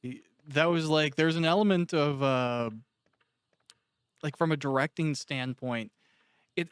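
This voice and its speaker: Clear-voiced man interviewing casually, very dry sound. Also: mid-range voice, no room sound. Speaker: interviewer, director.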